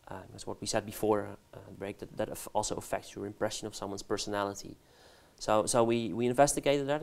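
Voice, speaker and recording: Dutch-accented male academic in his forties, stammering restarts, lapel mic in a studio. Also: lowish voice. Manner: halting, many restarts and fillers, laughs easily. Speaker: guest researcher. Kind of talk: casual interview answers. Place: studio set.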